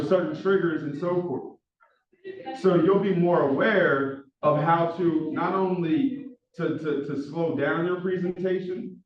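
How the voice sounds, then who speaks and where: A man with a Philadelphia accent is teaching a class, his delivery quick and loose with plenty of filler words. His voice sits slightly high for a man. He is heard from across a strongly echoing classroom, and the sound is dull. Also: far from the mic. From Philadelphia, USA. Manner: talks fast with many fillers, says he is monotone. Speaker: instructor. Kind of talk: classroom lecture. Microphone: far room microphone. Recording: echoey classroom recorded from afar, dull sound.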